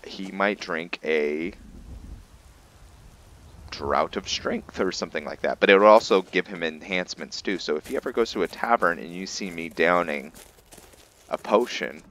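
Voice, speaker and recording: A man giving casual, improvised game commentary in a halting, think-aloud manner as he narrates a roleplay playthrough. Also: sits low, a bit bright. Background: background game music.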